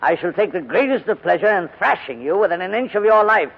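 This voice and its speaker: An actor playing a proud, cantankerous elderly English gentleman in a wordy, pompous 1940s radio drama style. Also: high voice, expressive, fairly quick, creaky, breathy edge, exaggerated voice. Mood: indignant. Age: older. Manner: pompous, wordy, indignant, hesitating. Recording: dull vintage radio broadcast recording.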